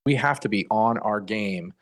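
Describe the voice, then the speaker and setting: A man's voice: fairly low pitch, moderate pace. An older man, a retired professional, giving unscripted answers in a news interview. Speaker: retired air traffic controller, interviewee.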